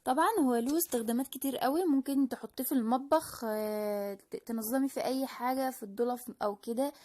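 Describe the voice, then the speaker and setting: A woman with an Egyptian Arabic accent hosts a do-it-yourself crafts video, explaining each step in a chatty, informal way. Her voice sits high, and she talks quickly, often breaking off and starting a phrase again. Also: darkish tone. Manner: chatty and explanatory, with frequent restarts and fillers. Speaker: DIY crafts channel host. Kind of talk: casual voice-over crafting tutorial.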